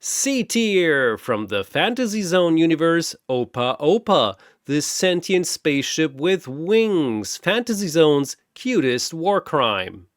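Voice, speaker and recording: Guy doing a showy teasing god as ring announcer, big swooping pitch, dry close sound, audio story. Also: very animated, takes it slowly, few pauses, dry recording. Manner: theatrical, grandiose, teasing. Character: bored, gleefully mocking four-armed almighty god playing arena announcer. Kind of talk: bombastic showman ring announcing.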